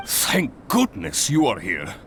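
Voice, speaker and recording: A man's voice: medium pitch, huge pitch swings, slow pace, a bit bright, slight background noise, exaggerated voice. A man playing a polite, eager adventurers' guild organiser in a video game, explaining things courteously. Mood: polite, concerned.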